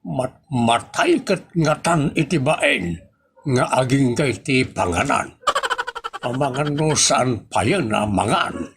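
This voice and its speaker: A grumbling, exasperated old father acted by a man in a comedy audio drama. He talks very slowly, stretching out his exclamations. Some background noise sits behind the voice. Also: medium pitch, lively intonation. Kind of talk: Ilocano comedy radio-style drama acting.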